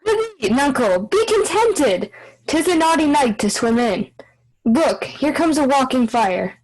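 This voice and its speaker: Woman playing jumpy court fool, high swooping voice, excitable, Shakespearean. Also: a little slow, rough recording. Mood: wry, anxious. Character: king's skittish, teasing jester. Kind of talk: amateur Shakespeare reading.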